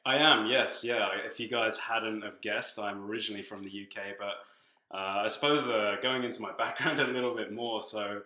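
A man's voice: on the low side, moderate pace. Chatty British man in an echoey room. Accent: British English, shifting from living abroad. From born in England, grew up in Tanzania. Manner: relaxed, chatty, many fillers, laughs between phrases. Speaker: podcast guest, strength coach. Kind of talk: casual conversational interview.

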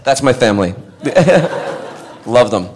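A middle-aged man, an actor telling animated, laughing anecdotes to a fan audience. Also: medium pitch, slow, background noise. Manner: animated, laughing, acts out other voices. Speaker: actor guest at a fan panel.

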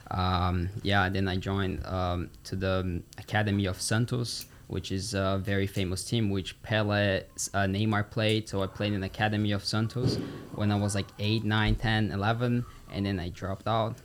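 A man's voice: lowish voice, level tone, moderate pace, a little hiss or hum. A young man with a Brazilian accent, chatting casually as a student guest on a podcast. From São Paulo, Brazil. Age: teens or young adult. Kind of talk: casual interview chat.

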